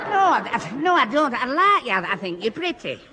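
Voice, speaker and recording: A man's voice: very high-pitched, very animated, brisk, pushed and bright, heavily acted. A man playing a flustered, hapless, bashful young man with a Northern English accent in a 1960s radio sitcom, stammering and exasperated. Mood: panicky, embarrassed. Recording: old noisy radio recording, studio audience.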